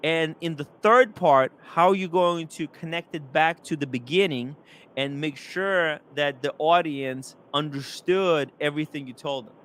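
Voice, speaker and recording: A young man hosting a live stream, rambling and animated, reading chat comments aloud. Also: on the high side, a little slow.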